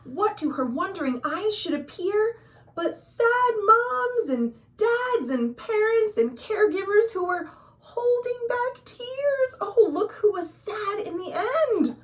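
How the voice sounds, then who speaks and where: A woman's voice: very high-pitched, expressive, slow. An American woman hosting a storytime, reading a picture book to young children expressively with sing-song phrasing and explanatory asides. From USA. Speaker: children's librarian and storytime host. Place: at home.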